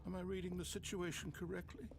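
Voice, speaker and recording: An actor playing an anxious, pleading, theatrical narrator of a video game, speaking in wordy, earnest, dramatic lines. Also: male voice, high-pitched, flat delivery, quick pace. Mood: resigned, hurt.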